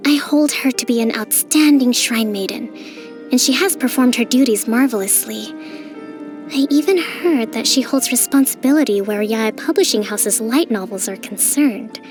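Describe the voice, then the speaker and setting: A woman voicing a gentle young priestess and strategist in a video game, speaking formally and thoughtfully in a soft, measured way. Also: high voice. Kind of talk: scripted video game character voice lines. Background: music.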